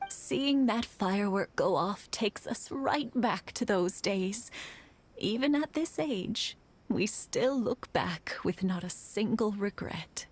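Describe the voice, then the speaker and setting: A fond, teasing old wife. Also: female voice, mid-range voice, expressive, slightly rough. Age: older. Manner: slow, gently teasing, motherly. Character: warm elderly village wife, teasing and fond. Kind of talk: game voice acting. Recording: game dialogue with music behind.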